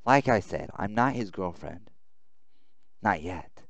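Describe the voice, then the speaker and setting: A man reading a visual novel aloud as a blunt, defensive young woman in a militia, a little halting. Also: sits low, lively intonation, creaky, heavily acted. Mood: flustered, defensive.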